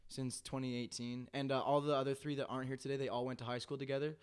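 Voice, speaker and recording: A man's voice: mid-range voice, level tone, fast talker, smooth. A young man from Canada, a band member, telling his band's story at length in a casual podcast chat, full of "uh" fillers. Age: young adult. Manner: rambling storyteller, lots of "uh" fillers. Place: home basement.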